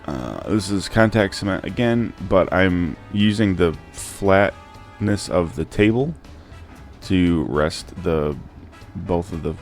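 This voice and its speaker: A man narrating a casual DIY how-to in an informal, halting, hesitant way. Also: deep voice, expressive. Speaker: DIY prop maker and channel host. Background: music.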